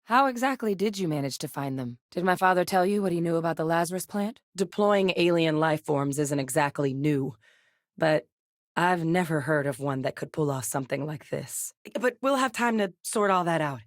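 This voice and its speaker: An actress voicing an earnest female space officer in a video game, speaking game voice lines in a measured way. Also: low voice, no room sound, close to an everyday voice. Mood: determined. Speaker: game companion character. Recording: dry studio recording.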